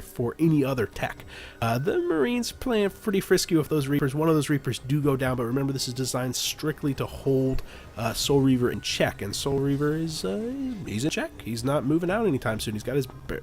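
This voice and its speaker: A man's voice: medium pitch, a little mellow. A man with a stuffy, nasal voice from the flu, casually commentating a strategy game replay and rambling with frequent fillers. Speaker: game caster.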